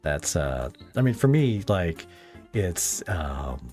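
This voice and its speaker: A man hosting a casual podcast interview, chatty and halting as he thinks aloud. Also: low voice, sing-song, darkish tone. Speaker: podcast host, content creator. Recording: music playing under the talk.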